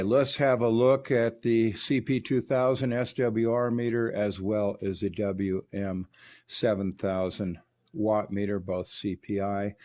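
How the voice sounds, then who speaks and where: Low-voiced man giving a technical demonstration. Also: darkish tone. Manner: methodical, explanatory, technical. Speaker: radio restorer demonstrating equipment. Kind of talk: hands-on technical demonstration, unscripted commentary.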